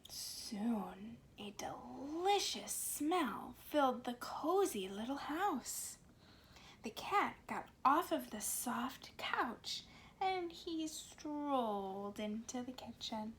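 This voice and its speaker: A woman speaks as a storyteller for small children. Her voice sits a little high and her delivery is very slow and deliberate, with expressive phrasing. There is slight background noise on the recording. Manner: slow, deliberate, animated phrasing with dramatic pauses. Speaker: speech pathologist and children's storyteller. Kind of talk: expressive read-aloud storytelling for young children.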